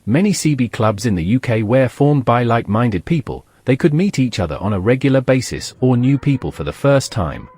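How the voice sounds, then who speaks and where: A man's voice: mid-range voice, expressive, brisk, few pauses, darkish tone, breathy edge, dry recording. A man narrating a documentary from a script.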